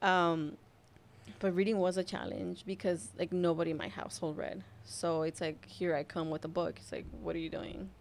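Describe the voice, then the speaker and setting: A young woman from Mexico whose first language is Spanish, a mother sharing her story as a podcast guest in a soft, hesitant way with frequent laughs. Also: fairly low pitch, normal speed, a little hiss or hum. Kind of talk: casual personal storytelling.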